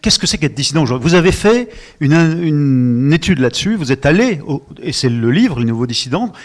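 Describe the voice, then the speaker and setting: A man's voice: fairly high pitch, sing-song, a little fast. A man moderating a literary panel at a book fair, asking questions in a hesitant way with many restarts.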